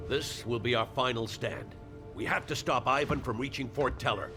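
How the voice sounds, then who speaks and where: An actor playing a solemn, resolute middle-aged American colonel in a military game cutscene. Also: male voice, medium pitch, normal speed, over music, close to an everyday voice. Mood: grave resolve. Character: grave, steadfast veteran American army colonel rallying troops. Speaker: army colonel.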